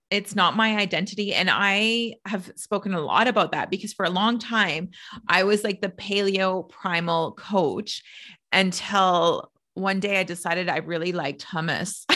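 A woman's voice: mid-range voice, pushed and bright. A woman hosting a podcast, chatting casually with a guest, laughing often and filling her talk with "like". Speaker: podcast host, trainer.

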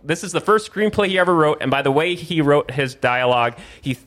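A man hosting a casual talk show, excitedly rambling with stammers and slipping into hammy script narration. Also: a little high, a little fast. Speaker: show host. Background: suspenseful crime music playing in the background.

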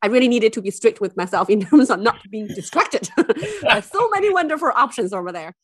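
A woman's voice: fairly high pitch, animated, a little fast, slightly rough, dry recording, clean studio sound. A woman from China, a university officer, chatting as a podcast guest, enthusiastic and full of fillers and restarts. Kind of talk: casual interview chat.

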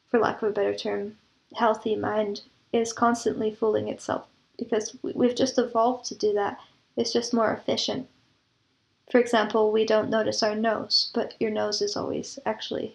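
A woman speaks as an interview guest in a fairly high voice with noticeable vocal fry. Her intonation stays very even. She explains ideas reflectively, reaching for examples and comparisons. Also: rough recording. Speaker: interview guest, composer and audio drama producer.

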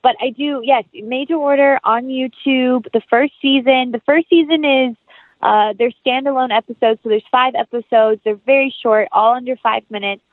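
Casting: phone-quality female guest, medium-pitched, conversational and sincere with an upbeat manner, no room echo. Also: steady tone, normal speed. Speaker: interview guest, actress and producer. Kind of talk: casual conversational interview. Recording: tinny phone-like line.

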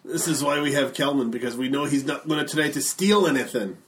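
A man playing a tabletop game, joking around in quick banter. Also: medium pitch, a bit bright. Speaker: player. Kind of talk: casual chat.

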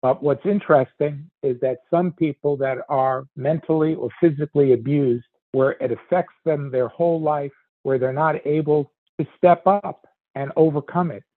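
Older man, raspy mid-range voice, reflective commentary, narrow dry phone-like recording. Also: on the high side, moderate pace, no echo. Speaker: podcast commentator, a grandfather. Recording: dull, narrow phone-like sound.

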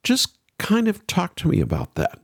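A man's voice: sits high, wildly swooping pitch, normal speed, gravelly, husky, no echo, clean studio sound. An American man hosting a radio interview programme, introducing things and putting questions to a guest.

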